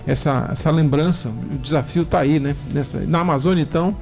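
A man hosts a relaxed talk show, warmly chatty and prone to reminiscing with restarts. His voice sits a touch higher than most men's. Faint music runs quietly behind him. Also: brisk, creaky. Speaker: radio or talk show host. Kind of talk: casual interview chat.

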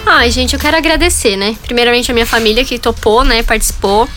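Bright, chatty young woman guest. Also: fairly high pitch, fast talker, faint music behind. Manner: warm, grateful, chatty. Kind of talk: casual radio interview.

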